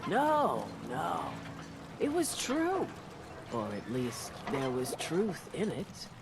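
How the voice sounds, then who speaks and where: An actress playing an old, weary island widow in a video game, telling things in a solemn, folksy, deliberate way with a sly streak. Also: female voice, sits low, wildly swooping pitch, noisy background, exaggerated voice. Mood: defensive, reflective. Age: older. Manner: slow, deliberate, folksy storytelling. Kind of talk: dramatic video game dialogue. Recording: loud music over the voice.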